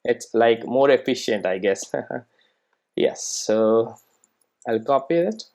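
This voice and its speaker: Coding tutorial presenter, medium pitch, informal, thinks aloud, frequent check-in questions. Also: animated, slow pace, darkish tone. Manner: checks in with rhetorical questions and tag "right?"; thinks aloud while typing code. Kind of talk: informal screen-recorded programming tutorial.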